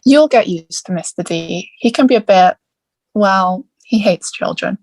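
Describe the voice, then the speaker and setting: Centaur teacher and mentor, male played by a woman: mid-range, patient, gently explanatory, dry recording. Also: mid-range voice, animated, a little slow, dry recording, poor audio. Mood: calm, explanatory. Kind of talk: musical theatre table read.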